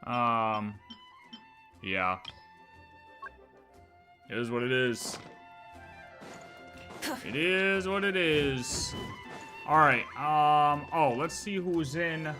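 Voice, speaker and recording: A man's voice: on the high side, animated, drawn-out words, long pauses, bright, forceful tone, faint music behind. A man livestreaming a video game, chatting casually and thinking aloud in slangy, offhand asides.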